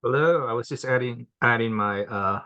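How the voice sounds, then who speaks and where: Bright-toned, halting middle-aged man. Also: mid-range voice, muffled recording. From Mexico, raised in Washington State. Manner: relaxed, halting with many fillers. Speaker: program manager. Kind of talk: webinar self-introduction. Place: office at home or work. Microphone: computer mic on a video call.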